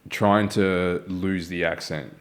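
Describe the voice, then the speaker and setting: A man's voice: deep voice, steady tone, takes it slowly. A man, hosting an interview in an earnest, encouraging way and often adding "right?". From Malaysia. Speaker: podcast host. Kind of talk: casual interview chat.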